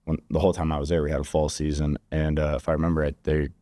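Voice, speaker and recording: A man from Kansas talks easily as a podcast guest, circling his points with plenty of ums and you-knows. His voice sits very low and mellow. The sound is dry and close, with little room around it. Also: completely flat delivery, rapid-fire, no room sound. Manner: easygoing, explains with many fillers. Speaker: guest, conservation group regional director. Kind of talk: casual podcast chat. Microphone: studio podcast mic.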